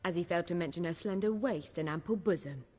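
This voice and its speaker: An actress playing a sharp-tongued, worldly woman in a video game, with a sardonic, dry manner. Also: on the low side, fast, husky, big-room sound.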